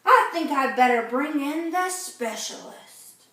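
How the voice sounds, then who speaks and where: A woman voicing a fussy, mumbling male doctor in a storybook, louder and pushier than her narration. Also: very high-pitched, lively intonation, slow, far from the mic, exaggerated voice. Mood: brisk. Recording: very echoey room, off-mic.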